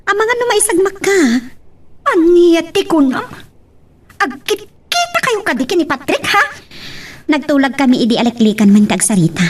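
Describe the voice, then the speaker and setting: A woman's voice: extremely high pitch, expressive, normal speed. A woman with an Ilocano accent playing an emotional young woman in an audio love melodrama. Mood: tense.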